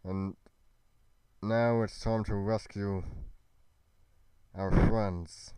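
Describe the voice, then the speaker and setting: A man's voice: on the low side, steady tone, drawn-out words, warm tone, clean tone, no echo. A man playing a solemn, determined warrior hero in a Minecraft roleplay, speaking in grave, formal heroic phrases. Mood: vengeful, solemn. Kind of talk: amateur Minecraft roleplay acting.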